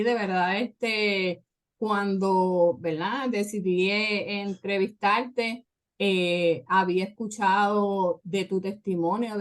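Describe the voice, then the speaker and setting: Church show host lady, lots of este and verdad, mid pitch. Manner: chatty, filler-heavy, says "¿verdad?" often.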